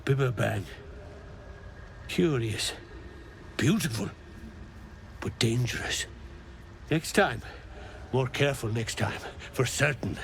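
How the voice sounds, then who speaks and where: Dazed, halting man with swooping pitch. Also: expressive, creaky, a little hiss or hum. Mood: dazed, muddled. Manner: halting, fragmented, trailing off and repeating himself. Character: dazed, confused male mushroom forager, muddled and earnest.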